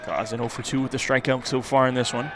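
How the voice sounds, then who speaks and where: A male baseball announcer delivers live play-by-play for a radio broadcast. His pitch is mid-range. Faint outdoor ambient noise sits behind his voice. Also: little pitch movement, fast talker, crowd noise.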